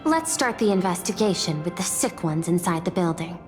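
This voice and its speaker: A woman's voice: lowish voice, expressive, lightly acted. An actress playing a shrewd, controlling businesswoman in a video game, speaking formally with guarded authority. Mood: commanding. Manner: formal, measured, commanding. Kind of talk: video game story dialogue. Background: music.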